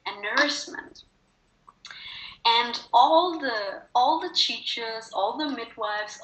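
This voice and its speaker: A woman's voice: medium pitch, bright, forceful tone, echoey room, rough recording. A woman with a Danish accent telling a personal story as an interview guest, earnestly and emphatically, repeating phrases for stress. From Denmark. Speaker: interview guest, wellness speaker and coach.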